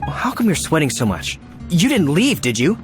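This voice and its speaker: A man's voice: on the high side, huge pitch swings, moderate pace. A man voicing an earnest, self-important city knight in a video game, formal, fussy and somewhat flustered. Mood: suspicious. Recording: music clearly behind the voice.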